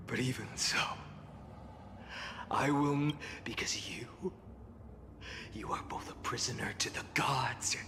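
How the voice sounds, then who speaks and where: An actor playing a weary, defiant fallen hero in a video game, solemn and grandiose with bitter scorn. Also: male voice, medium pitch, noisy background. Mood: scornful, weary. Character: weary, defiant male fallen hero burdened by countless lifetimes. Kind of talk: dramatic scripted video game acting. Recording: loud music behind the voice. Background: game soundtrack music.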